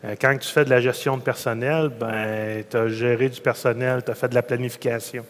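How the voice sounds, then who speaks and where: A man with a Quebec French accent speaks in a medium-pitched voice. He recounts his experience informally as a panelist, and the room adds long reverb. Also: steady tone, normal speed, a little hiss or hum. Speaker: panelist, farm entrepreneur. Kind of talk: conference panel personal account. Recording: reverberant hall sound.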